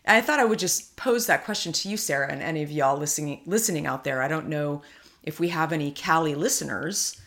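A woman's voice: low voice, brisk, a bit bright. A woman from California co-hosting a podcast, chatting casually and thinking aloud with many fillers and restarts. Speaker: podcast co-host. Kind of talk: casual chat.

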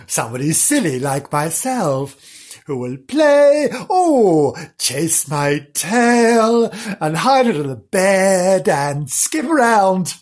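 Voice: mid-range voice, sing-song, moderate pace, hardly any pauses, slightly rough. A storyteller voicing a wistful, silly pet sighing for a playmate in a comic children's story. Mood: wistful, whining.

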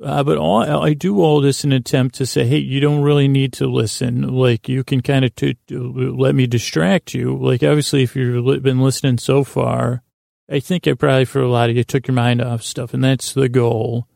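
A man with a gravelly, creaky voice hosts a podcast, recorded close and dry. He wanders through a whimsical monologue, stopping often, doubling back on words and drifting off on silly tangents. His pitch sits in an ordinary male middle range. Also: flat delivery, darkish tone, dry recording. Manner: halting, digressive, with long pauses and repeated words; silly, absent-minded. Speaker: podcast host. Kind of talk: rambling whimsical monologue meant to distract listeners.

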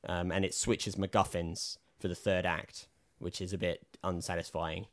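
A man with a lowish voice talks over a film in loose, hesitant chat. He reaches for his words, stacking up fillers and restarts, and his voice is slightly croaky. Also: flat delivery, normal speed. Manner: rambling, hesitant, filler-heavy. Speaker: podcast co-host. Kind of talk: casual film review chat.

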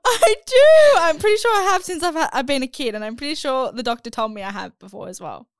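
A woman's voice: high voice, animated, fast talker, no room sound, clean studio sound. A young woman co-hosting a podcast, chatting casually with a slightly nasal voice and frequent laughs. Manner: chatty, laughs often, trails off mid-sentence. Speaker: podcast co-host. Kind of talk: casual chat.

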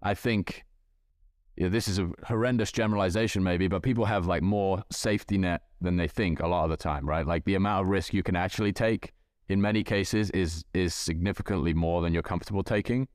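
A man's voice: sits low, fairly quick, clean tone, no room sound, clean studio sound. A man speaking as a podcast guest, reflective and thinking aloud with frequent hedges. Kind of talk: casual podcast chat.

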